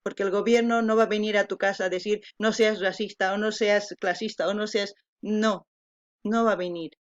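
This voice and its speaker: A woman's voice: medium pitch, fairly even intonation, normal speed, muffled recording. Earnest woman, Quechua speaker. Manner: earnest, thoughtful, switching between Spanish and Quechua. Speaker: reaction channel host. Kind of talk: casual reaction commentary.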